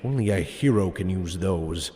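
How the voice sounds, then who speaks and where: A man's voice: low voice, animated, moderate pace, mellow, pitched below the performer's own voice. Man playing a swooping, gloating comic-book supervillain. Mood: smug, gloating. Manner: mock-polite, theatrical. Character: gloating comic-book supervillain mastermind, male, smug and theatrical. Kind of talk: audio superhero comedy drama. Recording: reverberant hall sound with music.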